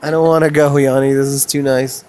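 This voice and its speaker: A man in his thirties chatting on a family day out, telling animated stories. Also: medium pitch, fairly quick. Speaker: father, vlogger. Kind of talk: casual family vlog chat. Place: outdoors by a river, later in a car. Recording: outdoor camera audio with clear background noise.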